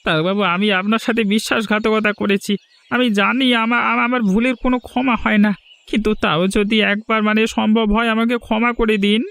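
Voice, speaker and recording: High-voiced, deferential village henchman played by a man. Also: fairly even intonation, moderate pace, vocal fry, a bit bright, clean tone, no echo. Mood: ashamed, apologetic. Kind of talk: melodramatic cartoon voice acting. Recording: music behind the voice.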